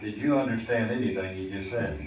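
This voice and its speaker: An actor playing a goofy, wisecracking radio quiz show host who exclaims in mock disbelief. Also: male voice, lowish voice, brisk, close to an everyday voice. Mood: teasing. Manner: joking, mock-incredulous, exclaiming. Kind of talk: old-time radio comedy quiz show. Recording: echoey hall, distant mic, audience noise.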